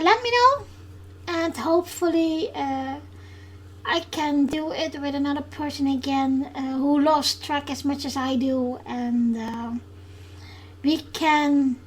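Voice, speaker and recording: Podcast host, woman, Dutch accent, high voice, unhurried, hesitant solo recap. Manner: hesitant, halting, with frequent pauses.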